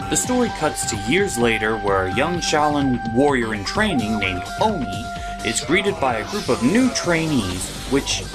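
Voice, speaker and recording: A man delivering scripted comedic review narration as a theatrical, opinionated show host. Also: mid-range voice.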